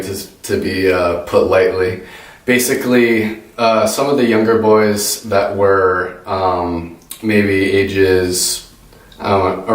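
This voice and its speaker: Lowish-voiced young man, hesitant presenting. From United States. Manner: hesitant, many ums and self-corrections. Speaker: student tutor presenting. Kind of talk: informal class presentation. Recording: echoey room, voice from a distance.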